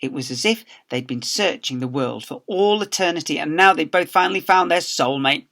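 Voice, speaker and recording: A man's voice: fairly high pitch, brisk, vocal fry, pushed and bright. A man, a teacher, reading a storybook aloud to young children with playful, theatrical emphasis.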